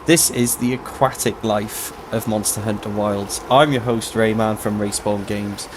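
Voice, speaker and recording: A man hosting a casual game walkthrough, his voice a little hoarse and tired, explaining things in a chatty, rambling way. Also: lowish voice, fairly even intonation, a little slow, background noise. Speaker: host of a gaming channel.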